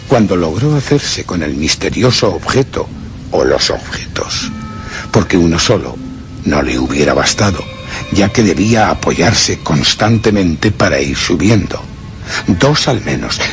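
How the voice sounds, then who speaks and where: Brooding gentleman narrator for suspense radio play; low voice, deliberate yet very expressive, music underneath. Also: full character voice. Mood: brooding deduction. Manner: measured, theatrical, deliberate storytelling. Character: brooding gentleman narrator recounting his vengeful suspicions. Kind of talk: suspense radio drama first-person narration.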